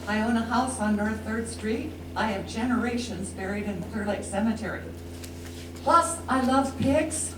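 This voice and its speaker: An American woman making an informal, earnest plea as a resident at a public meeting, in plain short sentences. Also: a little high. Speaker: resident speaking at public comment. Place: indoor council meeting room. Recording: echoey meeting room, distant mic, noisy hum.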